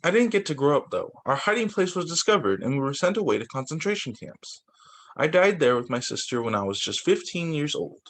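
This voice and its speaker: A man's voice: fairly high pitch, sing-song, fairly quick. A man reading a children's book aloud as a teacher, occasionally stumbling, repeating phrases and adding casual asides. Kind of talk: children's story time read-aloud.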